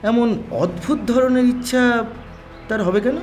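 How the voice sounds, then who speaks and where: A man's voice: very high voice, a little slow, darkish tone, soft background music. An actor playing a detective's puzzled, sceptical male companion, questioning incredulously in an audio mystery drama.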